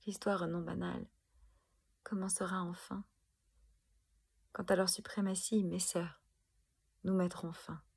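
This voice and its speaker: A woman reciting spoken-word poetry with deliberate, dramatic phrasing and a breathy, husky voice. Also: lowish voice, level tone, darkish tone. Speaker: poet performing own protest poem.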